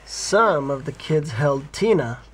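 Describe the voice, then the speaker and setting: A man reading a children's bedtime story aloud as a father, in an expressive, playful way. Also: fairly high pitch, slow pace, husky.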